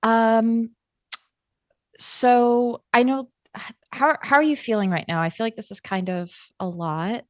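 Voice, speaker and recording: A woman playing a caring, protective friend in a tabletop roleplay, speaking gently and haltingly with careful wording. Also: fairly high pitch, fairly even intonation, no room sound, clean studio sound, close to an everyday voice. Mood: concerned, hesitant.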